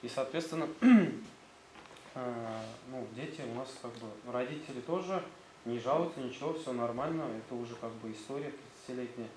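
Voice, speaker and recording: A man's voice: mid-range voice, fairly quick. A man with a Tatar accent, a school director presenting his gymnasium to visiting officials, hesitant and full of fillers. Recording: roomy sound, faint background noise.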